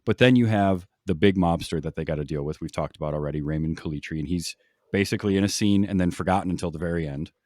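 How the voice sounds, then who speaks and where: A man with a fairly low voice hosts a casual podcast about films. He explains in a measured, halting manner, recorded close and dry with little room sound. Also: darkish tone, dry recording. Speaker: podcast host. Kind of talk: casual film discussion.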